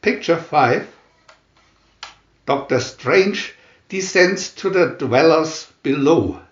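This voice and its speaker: A man reading comic book captions as a dramatic narrator, announcer-like, with long pauses between phrases. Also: on the high side, expressive, reverberant, dull audio. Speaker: narrator reading View-Master booklet captions.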